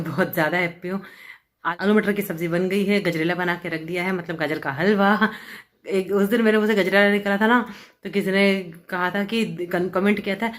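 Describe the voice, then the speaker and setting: A woman vlogger chatting casually to camera at home, telling a story with lots of fillers. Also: fairly low pitch. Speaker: vlogger, homemaker. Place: at home, seated with hair dryer.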